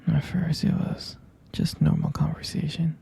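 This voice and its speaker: A man playing a tender, smitten boyfriend in an intimate ASMR roleplay, speaking softly and haltingly in a breathy near-whisper. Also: fairly high pitch, little pitch movement, no echo, heavily acted. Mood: smitten, shy. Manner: hesitant, halting, gentle. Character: tender, smitten boyfriend reminiscing about a first date.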